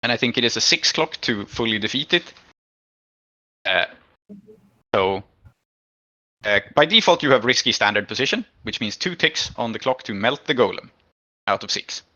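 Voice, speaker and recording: Bright-voiced man running a tabletop game. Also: mid-range voice, animated, moderate pace, pauses often, lo-fi sound. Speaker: game master. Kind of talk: narrating and adjudicating a tabletop game.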